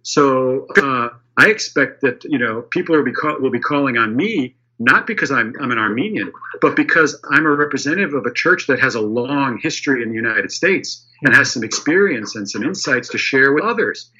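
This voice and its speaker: A man's voice: mid-range voice, animated, brisk, hardly any pauses, sharp tone, breathy edge. A man in his fifties, a church leader, answering interview questions thoughtfully, with frequent restarts and light laughs. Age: 50s. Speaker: primate of a diocese. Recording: slightly dull lo-fi audio.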